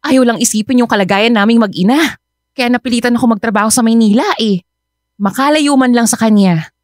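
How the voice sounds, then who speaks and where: A woman's voice: fairly high pitch, fairly quick, no room sound, studio quality, lightly acted. A woman playing an exasperated, worried older sister and working mother in radio drama dialogue, with emphatic, complaining interjections. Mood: fed up, worried.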